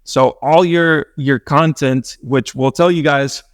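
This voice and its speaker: A man's voice: a little high, steady tone, slow, no echo, studio quality. A man hosting an in-person podcast interview, effusive and enthusiastic, slipping in the odd Spanish phrase, speaking into a handheld mic. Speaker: podcast host.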